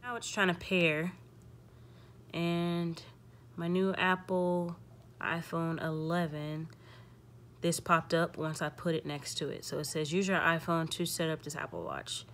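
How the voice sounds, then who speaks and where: A woman's voice: on the low side, fairly even intonation, measured pace, fairly bright tone. A young woman hosting a casual step-by-step tech tutorial, thinking aloud and reading prompts in an informal way.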